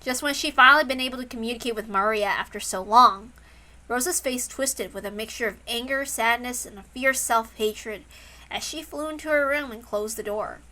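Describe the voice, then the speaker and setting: A woman reading a story aloud as narrator, in an expressive storytelling style. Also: on the high side, normal speed. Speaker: narrator, reader.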